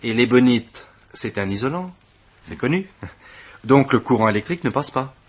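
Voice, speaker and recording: A man's voice: mid-range voice, expressive, moderate pace, slightly rough. A man acting an eager, deferential police assistant in a French radio mystery play, explaining his deductions with proud, methodical emphasis. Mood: confident, deductive.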